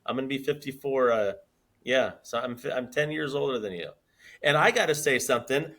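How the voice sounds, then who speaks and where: A man's voice: mid-range voice, lively intonation, fairly quick, fairly bright tone. Earnest man in his fifties. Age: 50s. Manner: earnest, repeats words while building a point. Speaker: podcast co-host. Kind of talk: casual podcast chat.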